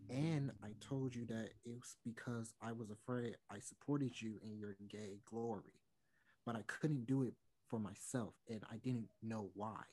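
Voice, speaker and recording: A man's voice: lowish voice, steady tone, a little slow, warm tone, husky, poor audio. A man playing an apologetic, pleading famous singer in an online play reading, earnest and hesitant, on a video call from home. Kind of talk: online one-act play table read.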